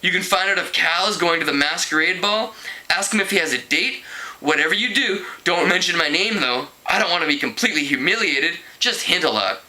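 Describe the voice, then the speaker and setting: A man's voice: sits high, lively intonation, a little fast, bright, forceful tone, exaggerated voice, low-quality recording. A man reading the part of a lovesick, scheming teenage girl in a novel, dramatic, complaining and insistent. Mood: pleading.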